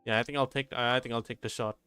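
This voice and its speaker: A man's voice: mid-range voice, fairly even intonation, very fast, bright, forceful tone, smooth, no room sound, faint music behind. A man livestreaming a game, chatting casually and informally, often thinking aloud and repeating his phrases. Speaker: game streamer. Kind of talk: casual livestream gameplay chat.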